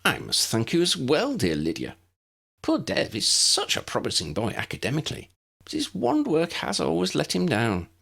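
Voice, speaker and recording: A man puts on a kindly little old wizard professor for a storybook. The voice is lifted high and swoops about, genteel and precise, with an avuncular, excitable air. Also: moderate pace, gravelly, husky, full character voice. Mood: delighted.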